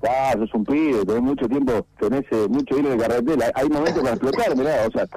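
Argentine sports radio host, warm dark tone, dry studio mic, chatty and rambling. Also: male voice, medium pitch, quick pace, no room sound, low-quality recording. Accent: Argentine Spanish. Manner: chatty, rambling questions with fillers.

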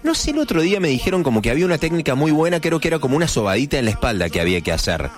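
A man's voice: fairly high pitch, very animated, racing, faint music behind. A man from Argentina with a Córdoba accent, hosting a casual morning radio show with chatty, joking asides. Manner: chatty, jokey asides, playful mock voices. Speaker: radio host.

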